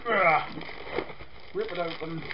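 A man from Britain with a croaky voice, casually reviewing a toy set, rambling with lots of ums and clearing his throat. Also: fairly high pitch, takes it slowly, dull audio, noisy background. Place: indoor room at a desk with toy display.

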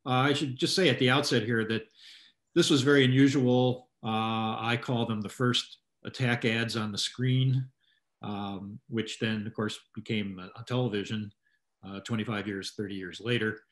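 An older man with a fairly low voice speaks as an interviewed author. His delivery is halting, with phrases broken up as he explains. The sound is roomy and echoey, like a video call from home. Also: fairly even intonation, fairly bright tone. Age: 60s or older. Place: small room at home, indoors.

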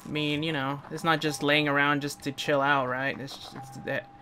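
A man casually commenting on his own gameplay, rambling, chatting to the enemies and breaking into sudden excited outbursts. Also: a little high, normal speed, a little hiss or hum. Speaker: let's play gamer. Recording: loud game music behind.

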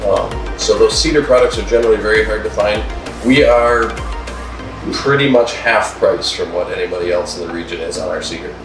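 Man, 40s, low voice, plain-spoken, frequent fillers, interview, roomy echo, distant mic, music behind. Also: a little fast. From Wisconsin, USA. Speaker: lumber business owner. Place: wood-paneled room indoors.

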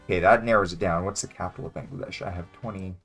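A man's voice: sits low, steady tone, fast, creaky, fairly bright tone, breathy edge, faint music behind. A man in his twenties playing a game and thinking aloud in a hesitant, musing, casual way. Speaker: guest player, beginner. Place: small home room indoors.

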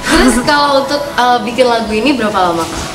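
A woman's voice: fairly high pitch, lively intonation, quick pace. A young woman hosts a casual interview, chattily asking questions and echoing back the answers. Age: young adult. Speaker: interviewer, host. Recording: roomy echo, loud music behind.

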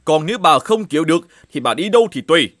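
A man playing a grumpy, fault-finding middle-aged shoemaker in an animated fairy tale, with a scolding, exclamatory manner. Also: a little high, fast talker, no echo. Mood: scornful, bossy.

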